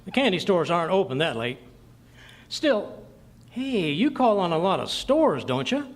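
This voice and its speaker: A man acting a folksy, boastful, easily flustered middle-aged husband in an old-time radio comedy, stammering and excitable. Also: high voice, unhurried, exaggerated voice. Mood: puzzled, suspicious.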